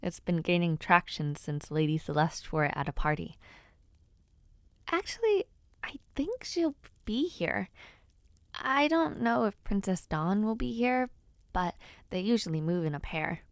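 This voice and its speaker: Woman playing a slow-spoken, bashful young noblewoman. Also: lowish voice, lively intonation, no room sound, studio quality. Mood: bashful, pleased. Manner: playful, hesitant, with pauses and sighs. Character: teasing, bashful young noblewoman before her debut ceremony. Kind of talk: intimate audio roleplay, one-sided conversation.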